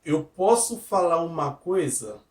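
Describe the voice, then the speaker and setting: A man with a fairly high voice who is not a native speaker delivers an informal devotional sermon. He repeats his points in simple words and breaks off to restart his sentences. The recording sounds distant, as if he stood well back from the mic in a reverberant room. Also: sing-song, a little slow. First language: not Portuguese. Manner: simple repetitive phrasing with frequent restarts, addresses listeners as "tu". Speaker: lay preacher giving a devotional.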